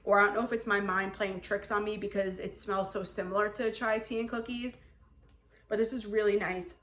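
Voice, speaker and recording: Woman, casual haul vlogger, talky and descriptive, mid pitch, roomy echo. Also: fairly even intonation, brisk, fairly bright tone, slight background noise. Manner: chatty, describing scents at length with many likes. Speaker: haul vlogger reviewing scented wax. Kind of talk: casual chat.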